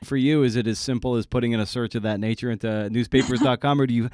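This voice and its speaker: A man's voice: mid-range voice, steady tone, quick pace, a little mellow, clean tone, no room sound, studio quality. A man hosting a podcast in a casual, conversational style with informal, colloquial phrasing. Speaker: podcast host.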